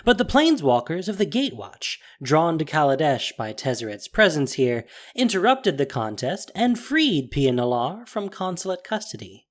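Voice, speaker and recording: A man's voice: a little high, sing-song, moderate pace, hardly any pauses. A man narrating a scripted audio drama story with theatrical phrasing and emphatic stresses. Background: music.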